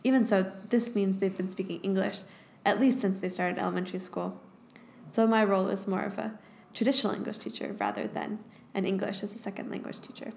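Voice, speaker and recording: A young woman with an American accent, hosting a podcast in measured, thoughtful scripted narration. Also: mid-range voice, vocal fry, darkish tone, a little hiss or hum. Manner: measured, thoughtful, reads in short phrases. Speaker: podcast host and English teaching assistant.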